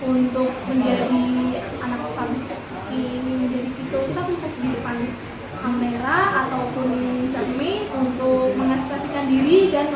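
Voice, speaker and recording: A woman with a light Javanese accent, chatting casually as a guest speaker and giving advice with many fillers. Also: sits high. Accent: Javanese-tinged Indonesian. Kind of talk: casual interview answers. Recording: echoey room from far off, noisy.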